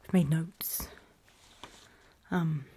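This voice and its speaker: A woman playing a nervous, apologetic apocalypse survivor in a horror ASMR roleplay, in a soft, breathy whisper with a halting, self-conscious delivery. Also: low voice, steady tone, full character voice. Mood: uneasy, earnest. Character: nervous, apologetic female zombie-apocalypse survivor recording a warning.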